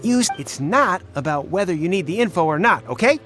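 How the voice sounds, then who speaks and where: A man's voice: sits high, sing-song, fairly quick, fairly bright tone, soft background music. A man voicing a pushy, wheedling street swindler and gang boss in a video game. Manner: wheedling, blustering.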